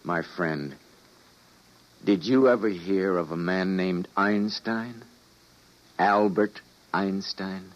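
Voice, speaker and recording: An actor playing a weary, idealistic scientist and time traveler, narrating and speaking gravely and dramatically in an old-time radio drama. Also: male voice, sits low, slow, pauses often. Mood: resigned, urgent. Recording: dull old radio recording.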